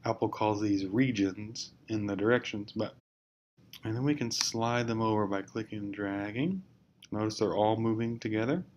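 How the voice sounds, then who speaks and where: A man giving an informal, step-by-step software tutorial in a hesitant, explanatory way. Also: fairly low pitch, normal speed, lo-fi sound. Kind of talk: informal screencast walkthrough. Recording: clear background noise, amateur screencast.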